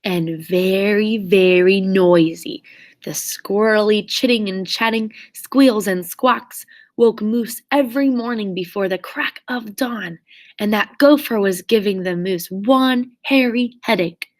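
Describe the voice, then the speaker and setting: A woman reading a children's story aloud expressively, with theatrical, drawn-out emphasis on key words. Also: medium pitch. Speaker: storybook reader.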